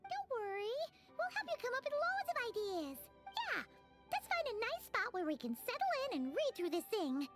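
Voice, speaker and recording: A woman voicing a tiny childlike fairy companion in an anime game, squeaky, chirpy and eager. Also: big pitch swings, normal speed. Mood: eager, reassuring. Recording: music playing behind the voice. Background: game music.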